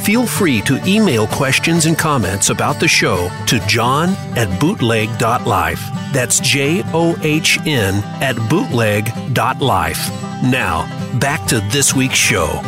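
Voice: medium pitch, sing-song, slow. A radio announcer giving a polished, scripted commercial read. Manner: polished, promotional. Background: loud music bed.

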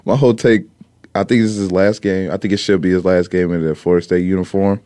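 A man with a fairly low voice talks sports in a relaxed, chatty way. He is recorded close and dry, with no room echo. He explains his take at length and laughs easily between points. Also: fast. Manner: easygoing, talkative, laughs often. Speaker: sports talk co-host. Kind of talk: casual sports debate.

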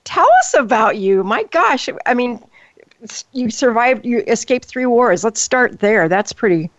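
A woman's voice: on the high side, expressive, unhurried, no echo, lo-fi sound. Upbeat older woman radio host. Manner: upbeat, chatty, laughs often. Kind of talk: hosting a talk radio show.

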